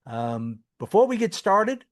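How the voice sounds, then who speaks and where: A man with a New York accent co-hosting a podcast, explaining tax history in a relaxed, casual way with frequent ums and uhs. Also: sits high, measured pace. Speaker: podcast co-host.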